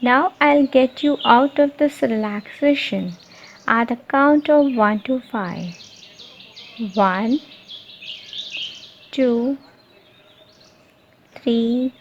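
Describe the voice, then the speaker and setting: A woman leading a guided meditation, giving repetitive, soothing instructions with deliberate pauses. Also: fairly high pitch. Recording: muffled lo-fi, noisy, birdsong behind. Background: birdsong and ambient nature sounds.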